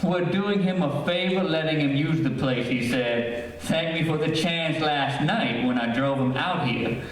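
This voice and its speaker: A man's voice: fairly high pitch, unhurried, lightly acted. A man acting a folksy, eager real estate salesman with a breezy, persuasive manner in an old-time radio drama. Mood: breezy persuasion. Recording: distant, cavernous echoey stage, faint noise.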